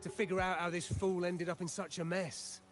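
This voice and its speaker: A man's voice: high-pitched, level tone, moderate pace, a little hiss or hum, lightly acted. An actor playing a weary, embittered old man in a video game, speaking in a solemn, rueful way. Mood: bitter, grieving. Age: older. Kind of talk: scripted video game dialogue.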